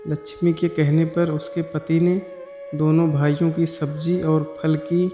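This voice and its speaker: Echoey, even-toned male Hindi-style storyteller. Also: fairly high pitch, a little slow. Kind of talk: scripted story narration. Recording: echoey hall-like reverb over music. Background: background music.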